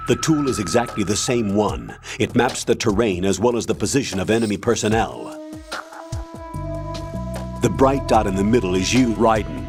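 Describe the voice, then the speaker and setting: A man playing a commanding older army colonel in a video game, giving measured, authoritative mission briefings. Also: on the low side. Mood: calm, instructive.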